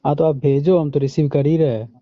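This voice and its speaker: A man's voice: fairly high pitch, fairly even intonation, fast, dry recording. An Indian man on a muffled recorded phone call, chatting informally and pushing back with questions. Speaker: online seller on a phone call. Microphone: phone line.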